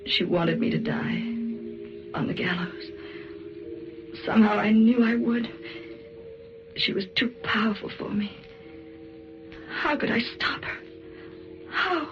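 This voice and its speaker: A woman's voice: a little high, animated, takes it slowly, long pauses, music in the background. An actress playing a frightened, hysterical woman haunted by her torment, melodramatic and breathless, in old-time radio suspense style. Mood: haunted dread. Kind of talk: old-time radio suspense acting, first-person narration. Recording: noisy, dull vintage radio recording.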